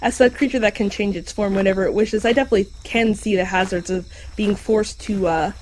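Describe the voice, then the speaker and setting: A woman's voice: medium pitch, steady tone, brisk, darkish tone, slight background noise, close to an everyday voice, rough recording. A woman voicing an easygoing shapeshifting dog creature who explains things matter-of-factly in an audio drama. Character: shapeshifting dog creature, easygoing, helpful park navigator and translator. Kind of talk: fiction podcast audio drama acting.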